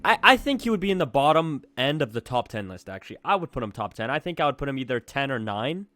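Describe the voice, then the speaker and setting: A man's voice: mid-range voice, big pitch swings, fast, bright, forceful tone, dry recording. A young man co-hosting a sports podcast, debating players in a casual way. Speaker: podcast co-host.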